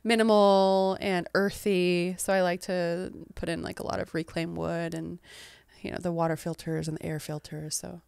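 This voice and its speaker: A woman in her thirties, from England but with an American accent. She is a guest author in a casual interview, soft-spoken, laughing often and trailing off. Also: fairly low pitch, little pitch movement, moderate pace, gravelly, smooth, dry recording, studio quality.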